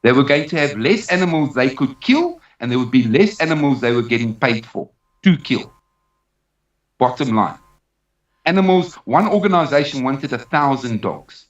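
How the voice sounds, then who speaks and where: A man's voice: mid-range voice, animated, normal speed. Echoey, distant South African man. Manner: emphatic, repeats phrases for emphasis. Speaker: interview guest, dog trainer. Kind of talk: personal account in a live interview. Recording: roomy, distant, dull sound.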